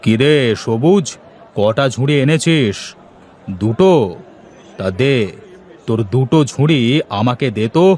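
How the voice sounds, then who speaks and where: A man's voice: mid-range voice, a little slow, long pauses, darkish tone, close to an everyday voice. A man voicing a greedy, bullying village headman in a fairy-tale cartoon, speaking with threats and contempt. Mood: demanding.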